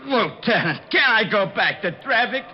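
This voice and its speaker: Slow-witted complaining cop, highish swooping voice, put-upon, old-time radio drama, muffled recording. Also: male voice, expressive. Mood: flustered, whiny. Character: dim, whiny, put-upon police sergeant. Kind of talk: 1940s radio comedy-drama acting.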